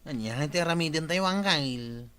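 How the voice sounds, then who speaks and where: A man's voice: fairly high pitch, animated, normal speed, sharp tone, clear, resonant tone. An actor with an Ilocano accent playing an earnest younger village man in a radio comedy drama. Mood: concerned.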